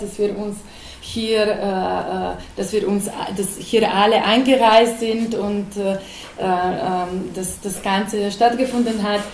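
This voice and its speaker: A woman opening a panel as moderator, halting with many fillers. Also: mid-range voice, darkish tone. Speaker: event moderator. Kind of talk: formal welcome at a panel. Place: gallery room. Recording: roomy gallery with faint noise.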